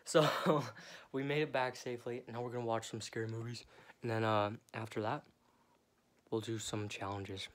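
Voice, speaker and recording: A young man vlogging casually on a phone held at arm's length, joking and chattering quickly. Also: medium pitch, steady tone, background noise. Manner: goofy, jokey, hurried chatter. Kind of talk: casual vlog. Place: house rooms and outdoors at night.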